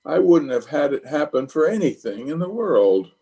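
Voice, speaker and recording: A man's voice: a little high, very animated, moderate pace, gravelly, warm tone, husky, heavily acted. A man playing a flustered, blustering middle-aged bachelor in an old-time radio comedy, hemming and sheepish. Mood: sheepish, apologetic.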